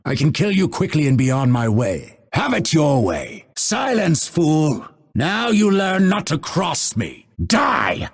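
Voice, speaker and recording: An actor playing a solemn, dry-witted male assassin in a video game, with measured, coldly menacing delivery. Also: mid-range voice, wildly swooping pitch, a little mellow. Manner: measured, deliberate, dryly sardonic delivery. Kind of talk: scripted game character voice lines.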